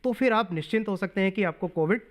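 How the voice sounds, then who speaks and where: Doctor, man, high voice, patient explainer, sprinkles in English terms. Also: quick pace. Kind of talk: medical advice on a call-in show.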